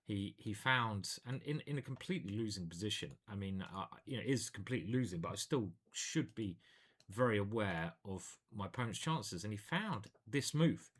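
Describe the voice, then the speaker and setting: A man's voice: lowish voice, expressive, moderate pace, breathy edge. A man, a chess grandmaster, casually commentating his own online games in a playful, chatty way. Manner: playful and chatty, hums and sings little jingles.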